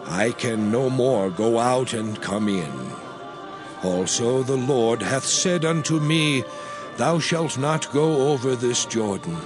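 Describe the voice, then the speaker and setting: A slow, solemn aged prophet. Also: male voice, medium pitch, expressive. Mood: solemn encouragement. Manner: grave, deliberate, declamatory. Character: Moses, aged prophet and leader, solemn and exhorting. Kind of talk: dramatized scripture reading, solemn oration. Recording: background music under the voice.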